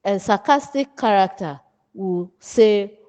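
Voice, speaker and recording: Slow-paced Ghanaian woman teaching in echoing reverb. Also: mid-range voice, darkish tone. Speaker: writing teacher. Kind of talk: instructional lecture from notes. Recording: dull, muffled, long room reverb.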